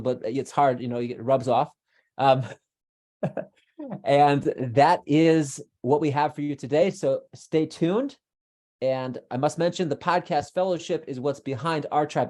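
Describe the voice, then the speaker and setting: Upbeat podcast host with a mellow voice. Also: male voice, a little high. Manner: upbeat, chatty, restarts sentences often. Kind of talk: podcast interview.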